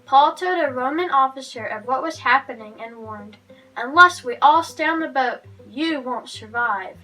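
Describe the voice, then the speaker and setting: A woman's voice: sits high, moderate pace, pushed and bright. A woman reading a Bible story aloud for children as a guest narrator. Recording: distant microphone, music underneath.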